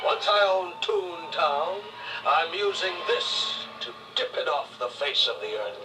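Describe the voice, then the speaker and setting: An actor playing a sinister, cold male judge who speaks in a slow, menacing way. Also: a little high, sing-song, far from the mic, a crowd in the background, low-quality recording.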